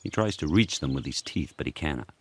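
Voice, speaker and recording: An actor voicing a powerful, solitary dog turning wild, telling his story in terse, measured present-tense sentences for a radio drama. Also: extremely low pitch, expressive. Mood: grim, primal.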